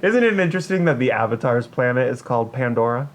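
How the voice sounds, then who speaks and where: Animated male podcast co-host, very lively pitch. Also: fast talker. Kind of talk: casual, joking podcast banter.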